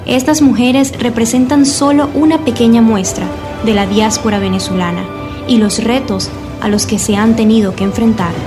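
A woman with a fairly high voice reads scripted documentary narration as the podcast host. Her delivery is measured and formal, with clear music playing underneath. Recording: voice over music bed.

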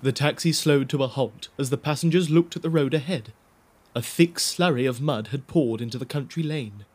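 A man's voice: mid-range voice, normal speed, darkish tone, a little hiss or hum. A man narrating a spooky audio drama in a theatrical storybook style. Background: music.

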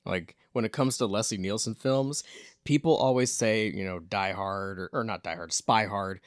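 A man's voice: lowish voice, normal speed, no echo, studio quality. A man co-hosting a casual chat about films, informal and joking as he talks. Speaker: podcast co-host.

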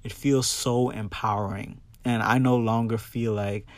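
A man's voice: lowish voice, fairly even intonation, unhurried, no echo. A man from the Bahamas hosting a solo podcast, talking casually and emphatically and often checking in with his listeners. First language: English. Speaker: podcast host. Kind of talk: personal solo podcast talk, casual and conversational.

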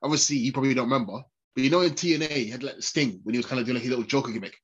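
A man's voice: mid-range voice, very fast, slightly rough, dull audio. Guy with a snotty cold chatting on a podcast, laughs a lot. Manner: chatty, laughs often, animated. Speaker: podcast co-host. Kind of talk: casual podcast chat.

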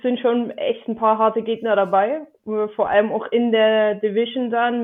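Woman guest, light Saxon accent, slightly high voice, friendly and hesitant, heard over a thin phone-like call line. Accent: Saxon German. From Dresden, Germany. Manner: friendly, hesitant with many fillers. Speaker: podcast guest, football fan. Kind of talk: casual podcast chat.

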